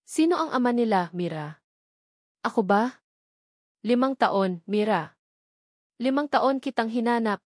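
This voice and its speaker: A woman's voice: on the high side, animated, measured pace, pauses often, darkish tone, dry recording. A woman narrator acts out a heartbroken man in an audio love story. He pleads with raw emotion for his lost love, in a dry, close recording. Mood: hurt, insistent.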